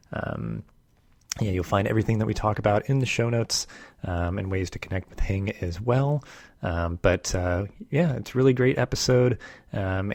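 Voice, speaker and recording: A man hosting a podcast, talking in a relaxed, casual way with lots of ums and uhs. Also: on the low side, gravelly, dry recording, studio quality. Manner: relaxed, filler-heavy talk with many ums. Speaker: podcast host. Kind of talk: casual podcast intro and interview.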